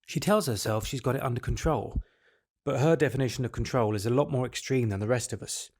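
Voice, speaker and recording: A man's voice: medium pitch, fairly quick, darkish tone, slight background noise, close to an everyday voice. A man acting a resigned, patient middle-aged husband and father in an audio drama, speaking in a matter-of-fact, weary way. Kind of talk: audio drama acting, confessional monologue and dialogue.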